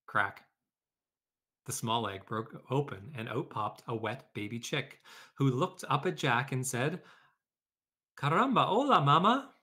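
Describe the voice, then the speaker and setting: A man's voice: medium pitch, measured pace, bright, forceful tone, husky. Male educator, mid-range voice, conversational delivery for a children's read-aloud lesson. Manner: conversational, addressing pupils and teachers. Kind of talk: children's story read-aloud and informal classroom instruction.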